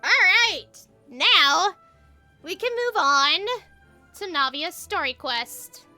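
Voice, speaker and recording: An excitable woman livestreamer with a high, bright voice. She chats casually and jumps between thoughts, with faint music behind her. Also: slow pace. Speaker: game livestreamer. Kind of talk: casual livestream chat while gaming.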